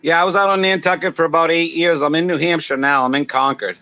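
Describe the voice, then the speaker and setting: A man in his fifties with a bright, forceful voice and a slightly higher pitch. He talks in an easygoing, chatty way, and his audio sounds a bit dull and lo-fi over the call. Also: steady tone, quick pace, dry recording. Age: 50s. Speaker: guest YouTuber. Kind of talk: casual group chat.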